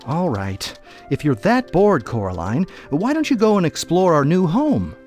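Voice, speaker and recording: Weary dad with swooping pitch. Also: male voice, very animated, measured pace, darkish tone, soft background music. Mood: distracted. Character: distracted, weary father, mild and put-upon. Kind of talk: video game cutscene voice acting with tutorial instructions.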